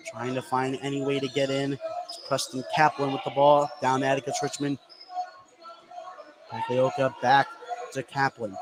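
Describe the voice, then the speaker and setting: An American man calling live basketball play-by-play as a radio announcer. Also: mid-range voice. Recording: live gym broadcast, clearly noisy background. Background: noisy gymnasium ambience.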